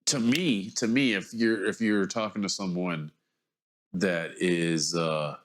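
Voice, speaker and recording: A man's voice: low voice, takes it slowly. A man in his forties, mildly congested, giving a casual, rambling vlog monologue in which he hesitates, corrects himself and circles around his point. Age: 40s. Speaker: vlogger.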